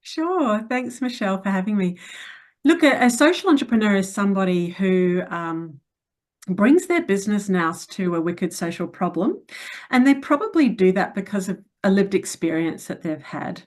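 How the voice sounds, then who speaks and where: A woman's voice: medium pitch, lively intonation, normal speed. A middle-aged woman from New Zealand answering interview questions in a frank, reflective way with many hesitations. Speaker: interview guest.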